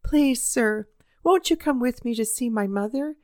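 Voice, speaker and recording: A woman's voice: on the high side, expressive, unhurried, darkish tone, dry recording, clean studio sound. A woman doing a pleading poor boy. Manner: earnest, pleading.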